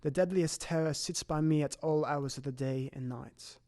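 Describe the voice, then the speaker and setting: A man's voice: on the high side, a little mellow, lightly acted. A man playing a grave, shaken Victorian doctor, recounting horror in formal, dramatic audio drama narration. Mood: shaken, despairing. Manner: formal, dramatic, measured storytelling.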